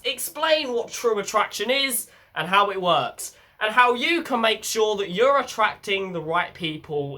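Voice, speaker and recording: Young male vlogger with a head cold. Bright, congested voice, blunt and sweary. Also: high-pitched. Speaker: channel host. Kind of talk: casual self-help vlog.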